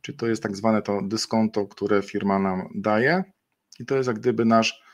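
A middle-aged man speaks into a webcam from a desk, walking viewers through slides. His voice sits fairly low, and he talks off the cuff, pausing on fillers and correcting himself mid-phrase. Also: steady tone. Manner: unscripted, many fillers and self-corrections. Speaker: webinar presenter. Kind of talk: explaining a partner program over slides.

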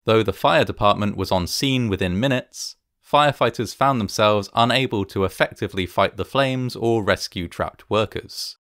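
Low-pitched man narrating a solemn documentary. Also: fairly bright tone, dry recording, clean studio sound. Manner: measured, solemn, formal delivery.

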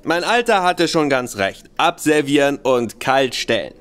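A man's voice: a little high, big pitch swings, unhurried, fairly bright tone, clear, resonant tone. An actor playing a bitter, tipsy young nobleman who rambles spitefully in a radio play. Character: Sebastian Conroy, young nobleman, bitter, drinking, boastful.

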